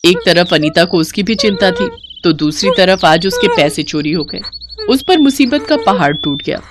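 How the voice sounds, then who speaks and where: A woman's voice: on the low side, expressive, normal speed, noisy background. A woman storyteller reading scripted narration of a moral tale.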